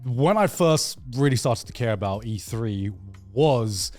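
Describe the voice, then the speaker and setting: A man co-hosting a casual gaming podcast, sweary and halting, often repeating words as he gets a thought out. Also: medium pitch, expressive, darkish tone, faint music behind. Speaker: podcast co-host.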